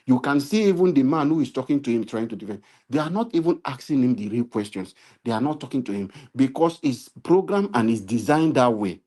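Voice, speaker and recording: Nigerian male commentator, warm and mellow, preachy, builds to emphatic repeated appeals. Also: medium pitch, expressive, fairly quick, hardly any pauses. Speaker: political commentator. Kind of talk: impassioned political commentary. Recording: live stream.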